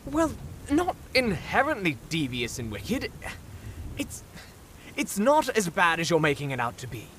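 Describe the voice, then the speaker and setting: An actor playing a bumbling, self-important warlock in a comic fantasy audio drama, nervous and hesitant, stammering. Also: male voice, high-pitched, wildly swooping pitch, unhurried, fairly bright tone, a little hiss or hum, heavily acted. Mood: exasperated.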